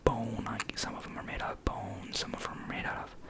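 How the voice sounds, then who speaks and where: A person whispering an informal ASMR guitar lesson, hesitating and repeating key words. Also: fairly quick. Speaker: guitar explainer.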